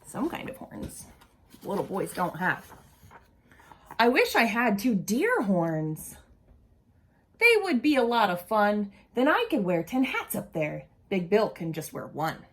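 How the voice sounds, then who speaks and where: A woman's voice: mid-range voice, slight background noise. A woman reading a children's picture book aloud expressively, with casual asides and questions for young listeners. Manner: animated, deliberate, asks the listeners questions.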